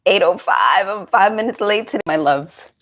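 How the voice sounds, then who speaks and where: A woman's voice: mid-range voice, lively intonation, normal speed, fairly bright tone. A woman in her forties, a meditation coach, chatting casually on a live stream, slightly congested and rambling through friendly asides. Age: 40s.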